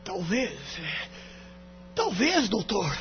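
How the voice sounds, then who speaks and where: A man acts out an aggrieved, bitter husband in a melodramatic audio drama. His male voice sits strikingly high and swings widely in pitch. The audio is somewhat muffled. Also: huge pitch swings, very slow, faint music behind, full character voice. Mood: reluctant admission. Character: bitter, wounded husband seeking a divorce. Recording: dull lo-fi audio with background noise.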